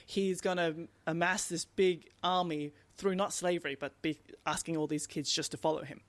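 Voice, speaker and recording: A man co-hosting a podcast, talking through books casually in an animated, emphatic way and often repeating words. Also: a little high, normal speed, dry recording. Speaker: podcast co-host. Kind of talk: casual book discussion.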